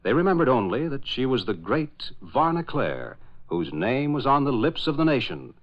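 Male radio announcer, medium pitch, very lively delivery, declamatory, scripted 1940s narration, muffled old recording. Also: moderate pace. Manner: dramatic, declamatory.

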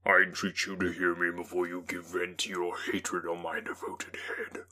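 A man voicing a deep anguished creature. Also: fairly even intonation, moderate pace, pushed and bright. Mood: pleading. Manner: low, heavy, pleading yet threatening. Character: anguished giant male creature, bitter and menacing. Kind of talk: dramatic audiobook reading.

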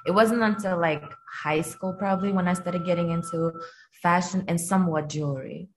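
A young woman from Kazakhstan, a guest on a casual podcast, talking about her life and work in a relaxed way with many fillers. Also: lowish voice, moderate pace, noisy background.

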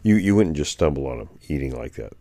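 A man's voice: extremely low pitch, lively intonation, fast talker, warm tone. A man talking casually on a podcast, recounting field finds in a rambling way with many restarts. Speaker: guest anthropologist.

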